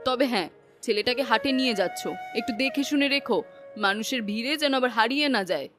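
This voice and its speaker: A woman playing a worried, protective village wife in an animated moral story, fretful and chiding. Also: a little high, quick pace, music in the background, close to an everyday voice.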